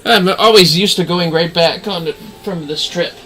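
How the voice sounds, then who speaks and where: Very bright-voiced man, casual game streamer. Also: sits high, normal speed, a little hiss or hum, rough recording. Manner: rambling, sweary. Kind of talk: casual livestream gameplay commentary.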